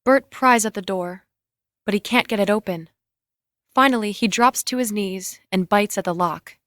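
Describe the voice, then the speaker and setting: A woman's voice: mid-range voice, fairly bright tone, no echo, clean studio sound. A woman narrating the scene directions of a script in a scripted dramatic reading.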